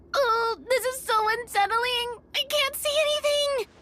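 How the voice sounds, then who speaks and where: A woman voicing a small, excitable, childlike fairy companion girl in a video game. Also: extremely high pitch, slow pace, noisy background. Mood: frightened.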